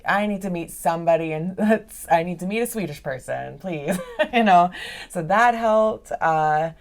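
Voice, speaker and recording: A woman's voice: lowish voice, lively intonation, unhurried, vocal fry. A young woman talking casually as a podcast guest, chatty, laughing often and filling her talk with "like.". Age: young adult. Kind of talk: casual interview chat. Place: video call. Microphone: video call.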